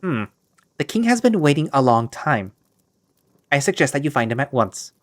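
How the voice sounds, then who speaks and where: A man's voice: mid-range voice, sing-song, normal speed, pitched above the performer's own voice, natural voice. A man voicing a stiff, formal male servant at a royal court who speaks curtly and properly. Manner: curt and proper.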